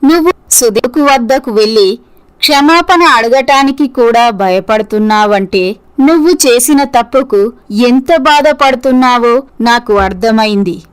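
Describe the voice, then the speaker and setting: A woman voicing a compassionate middle-aged mother who gently advises and persuades in a scripted moral story. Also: fairly high pitch, measured pace, smooth, lightly acted, poor audio. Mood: forgiving.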